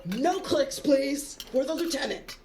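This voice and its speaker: A woman's voice: high-pitched, animated, a little slow. A woman playing a chatty café server on a starship in an audio drama, friendly and calling out orders. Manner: friendly, calls out orders loudly.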